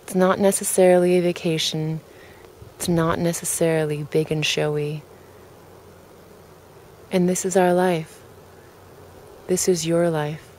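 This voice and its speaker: A woman with a low voice leads a guided relaxation. She speaks very slowly and calmly, setting out each soft invitation with care. Faint ambient noise sits behind her. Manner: calm, gentle, deliberate phrasing with pauses.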